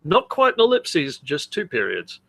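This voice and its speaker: A man's voice: on the high side, huge pitch swings, normal speed, creaky, a bit bright, no echo. A man co-hosting a comedy podcast, chatting casually in a blunt, crude manner. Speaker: podcast co-host.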